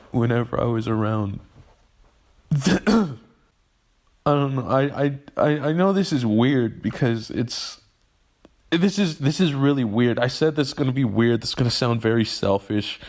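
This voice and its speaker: A man of twenty-one talks to camera in a halting, emotional way, often restarting his sentences as he vents about growing up. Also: mid-range voice. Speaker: vlogger. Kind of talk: personal venting monologue.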